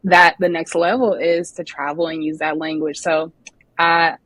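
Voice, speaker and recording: A woman's voice: sits low, flat delivery, measured pace. A woman hosting a podcast, keen and curious as she asks her guest questions. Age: young adult or older; has a daughter. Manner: enthusiastic, asks warm follow-up questions. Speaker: podcast host. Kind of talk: casual interview talk.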